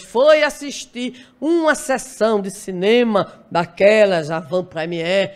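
A woman in her sixties from Brazil giving a spiritist lecture at a lectern, telling a life story with dramatic pauses. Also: fairly high pitch, a little mellow. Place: dim lecture hall stage at a lectern. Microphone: stand mic at lectern.

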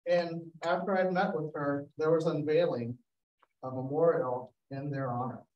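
A man's voice: fairly high pitch, steady tone, moderate pace, muffled recording. A man giving a storytelling slideshow presentation over a video call, relating anecdotes and quoting people as he goes. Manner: anecdotal, acts out quotes. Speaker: guest speaker, photographer. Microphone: video call, away from mic. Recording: roomy, distant-mic video call sound.

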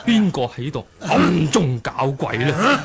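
A blustering old martial arts master for a 1980s Cantonese radio drama. His voice is fairly high and swings widely, exclamatory and scheming, with a crowd heard behind. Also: very animated, slow. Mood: placating. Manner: exclamatory, theatrical.

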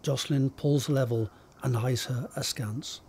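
A man's voice: mid-range voice, steady tone, a little slow, a little mellow, a little hiss or hum. A man narrating stage directions for an audio drama in a scripted reading style.

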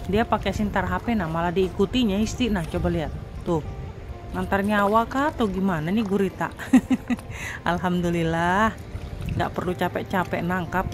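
A woman's voice: fairly low pitch, moderate pace. Chatty woman vlog host over music. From Indonesia. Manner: chatty, addresses viewers as friends. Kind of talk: voice-over narration of a foraging vlog. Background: background music.

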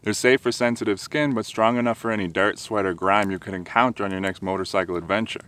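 A man's voice: on the low side, steady tone, fast talker, fairly bright tone. A man in his thirties giving friendly, direct how-to tips at a forest campsite, filmed on a camera held at arm's length. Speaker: motorcycle camping vlogger. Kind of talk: scripted tips vlog.

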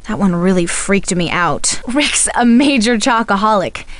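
A woman's voice: mid-range voice, expressive, a little slow, a bit bright, no room sound. A woman playing a friendly young soap opera actress in a video game, talking in a confiding, conversational way. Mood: confiding, uneasy. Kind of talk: video game character dialogue.

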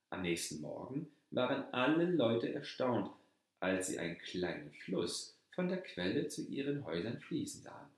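A male storyteller reads a scripted fairy tale in a low voice. He takes his time, pacing the narration slowly and deliberately. The recording is strongly reverberant, as if made in a very echoey room. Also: darkish tone. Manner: measured, deliberate read-aloud delivery. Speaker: storyteller reading a folk tale aloud.

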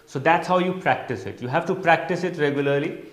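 Higher-pitched young Indian man, emphatic coach. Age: young adult. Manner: emphatic, stressing keywords with deliberate pauses. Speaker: interview coach. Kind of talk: instructional lecture to camera. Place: indoor studio in front of a digital whiteboard. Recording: roomy echo.

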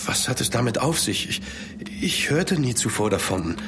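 An actor in a German horror radio play as a sceptical gentleman traveller, measured and grave. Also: medium pitch, very animated, noisy background, lightly acted. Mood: concerned, sceptical. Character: sceptical gentleman traveller recounting a dark tale. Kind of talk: scripted first-person radio drama narration.

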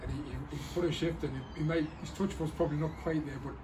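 A man with a fairly high voice fires off quick, friendly post-match questions as a club interviewer. The outdoor recording has clear background noise. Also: steady tone, distant mic. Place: football stadium stand, outdoors. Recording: outdoor camera audio with noise.

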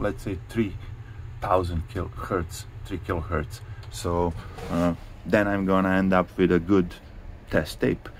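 A man giving a casual hands-on tutorial in a halting, informal way, repeating phrases as he goes. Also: low voice. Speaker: hi-fi video host. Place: indoors in a room with audio gear. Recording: clearly noisy handheld home recording.

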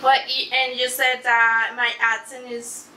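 A young woman from Colombia with a Colombian Spanish accent, a native Spanish speaker, answering interview questions in halting English with soft laughs, recorded by a camera across a living room. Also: fairly high pitch, flat delivery. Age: young adult. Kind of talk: casual interview in a second language. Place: living room, sitting on a couch. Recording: echoey room, distant mic, background noise.

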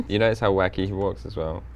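A man with a very deep voice explains his game work, relaxed and chuckling. Background noise is clearly audible with keyboard clicks around him. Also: brisk, highs cut off. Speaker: game programmer. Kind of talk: casual chat.

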